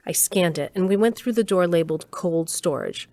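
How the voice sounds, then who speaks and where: A woman's voice: fairly low pitch, normal speed, natural voice. A woman playing a composed lab employee who narrates her own story in an audio drama. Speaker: narrator and character. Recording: loud noise behind the voice.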